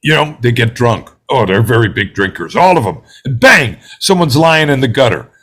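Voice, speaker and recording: An actor playing an angry, bigoted juror in a play read-through, ranting in a colloquial, hectoring way and growing desperate. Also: male voice, mid-range voice, very animated, moderate pace, fairly bright tone. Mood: scornful. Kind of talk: dramatic table read of a stage play.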